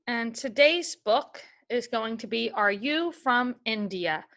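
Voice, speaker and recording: A woman's voice: a little high, expressive, slow, gravelly, a bit bright. A woman teaching a remote class of young children, reading a picture book aloud and giving patient, step-by-step instructions. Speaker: elementary school teacher.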